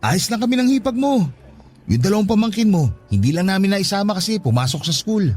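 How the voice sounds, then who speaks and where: A man's voice: high-pitched, very animated, a little fast, a little mellow. A male actor with a Filipino accent playing an eager, trusting, affectionate older brother from the province in a radio drama. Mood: overjoyed, excited. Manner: exclamatory, swooping delivery. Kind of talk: emotional radio drama acting. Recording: faint music under dialogue. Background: faint music.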